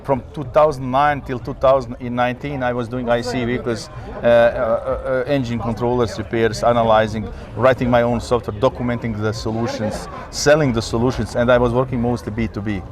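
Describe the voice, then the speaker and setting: Male engineer, mid pitch, earnest, fragmented answers outdoors. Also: flat delivery, fairly quick, hardly any pauses, a crowd in the background. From Croatia. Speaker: engineer, repair company guest. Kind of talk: casual interview answers. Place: outdoor event stand.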